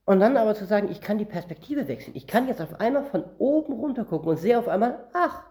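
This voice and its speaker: A man's voice: sits high, sing-song, fast, dark tone. A man from northern Germany preaching a Bible-teaching sermon, reading verses aloud and explaining them word by word in a conversational way.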